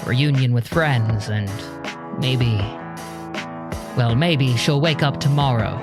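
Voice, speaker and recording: A man narrating a scripted audio drama in a measured, dramatic storytelling manner. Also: mid-range voice, music in the background.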